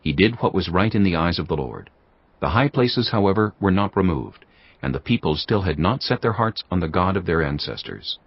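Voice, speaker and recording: Deep-voiced man narrating scripture. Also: expressive, husky, no room sound. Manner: measured, solemn reading. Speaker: narrator of an audio Bible. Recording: dull lo-fi audio with faint music.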